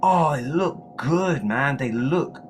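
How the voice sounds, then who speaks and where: A man chatting enthusiastically about video game news as a co-host, excitable and repeating words for emphasis. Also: a little high, slow pace, faint music behind. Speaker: gaming show co-host.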